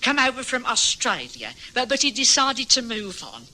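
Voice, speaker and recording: A woman's voice: fairly high pitch, very animated, moderate pace, bright, forceful tone, exaggerated voice, low-quality recording. An actress playing a chatty, homely middle-aged landlady in a vintage radio drama. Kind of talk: 1950s-60s radio drama acting.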